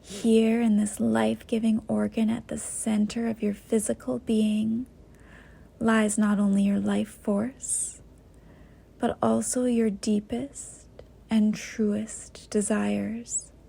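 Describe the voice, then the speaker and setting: A woman guiding a yoga nidra meditation in a soft, breathy, half-whispered voice, gentle and unhurried with long pauses. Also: a little high, little pitch movement. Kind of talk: guided meditation, slow soothing instruction.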